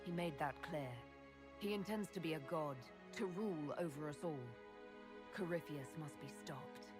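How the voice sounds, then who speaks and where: A woman's voice: deep voice, normal speed, music in the background, close to an everyday voice. A woman playing a wary, determined heroine in a fantasy video game, serious and restrained. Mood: grim resolve. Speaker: game character actor. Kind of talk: dramatic video game dialogue.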